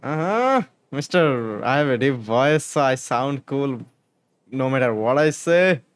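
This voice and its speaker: A man's voice: medium pitch, lively intonation, measured pace, clean tone. Foul-mouthed joking gamer man. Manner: loud, joking, swears a lot, talks back at the characters. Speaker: streamer. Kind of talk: gameplay commentary.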